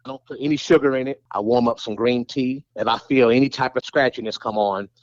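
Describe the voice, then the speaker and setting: A man's voice: mid-range voice, fairly quick, no room sound, muffled recording. A middle-aged man, an arena host, telling stories casually as a podcast guest, laughing as he goes. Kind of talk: casual interview storytelling.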